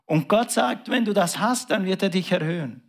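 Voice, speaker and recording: Guy preaching a sermon, kinda high voice, jumps from loud to almost whispering. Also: normal speed, gravelly. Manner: preaching with big swings in pitch and volume, dropping to a hush. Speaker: pastor.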